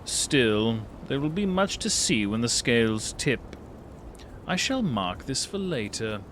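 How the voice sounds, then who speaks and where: A man's voice: mid-range voice, sing-song, slow pace, a bit bright, a little hiss or hum, rough recording. A man voicing an aloof feline wanderer, musing with philosophical detachment in a storybook monologue. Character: aloof, musing male feline traveler watching mortal affairs.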